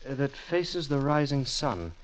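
A man's voice: mid-range voice, sing-song. An actor playing an earnest, curious young Englishman of twenty-five in an old radio drama, speaking dramatically. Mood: uneasy, awed. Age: young adult. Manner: earnest, dramatic, halting when reading aloud. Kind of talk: 1940s-50s radio drama acting. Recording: muffled vintage radio recording.

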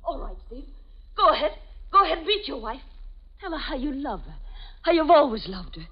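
A jealous nightclub singer. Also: female voice, a little high, very animated, normal speed. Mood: bitter. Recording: dull old radio broadcast, music behind.

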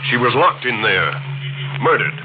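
A firm, earnest doctor with a lively, expressive delivery in an old radio play. He sounds urgent and steady. The broadcast is narrow and tinny, with music behind it. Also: mid-range voice, a little slow. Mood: grave. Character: earnest male doctor, the hero. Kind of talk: 1940s radio acting.

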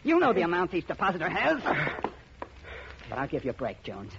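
A man's voice: a little high, huge pitch swings, brisk, vocal fry, exaggerated voice. A man playing a pushy, evasive young banker's son in a 1950s radio drama. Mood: accusing. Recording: muffled, noisy old radio recording.

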